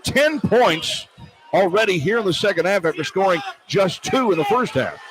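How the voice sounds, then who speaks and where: A man's voice: sits high, expressive, normal speed. A man calling live basketball play-by-play on the radio in clipped, running descriptions of the action. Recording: noisy live arena broadcast. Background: arena crowd ambience.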